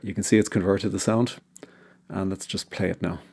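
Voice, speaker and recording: A man narrating an informal software tutorial as its host, explaining things step by step. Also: sits low, steady tone, normal speed, breathy edge.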